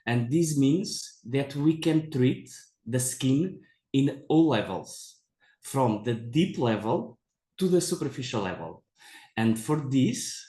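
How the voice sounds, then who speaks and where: A man from Portugal lectures as a dermatologist in accented, non-native English. His delivery is slow and halting, often repeating phrases, at a medium pitch. The sound is echoey, as in a presentation room. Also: dark tone. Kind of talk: medical conference lecture.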